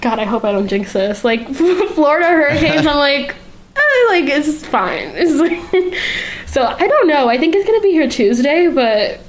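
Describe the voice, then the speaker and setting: A woman's voice: high voice, sing-song, moderate pace. A woman in her twenties from Puerto Rico, a native Spanish speaker talking casually as a guest, with many "like" fillers and easy laughs. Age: 20s. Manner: chatty, lots of "like" fillers, laughs easily. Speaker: guest, design student. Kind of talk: casual chat.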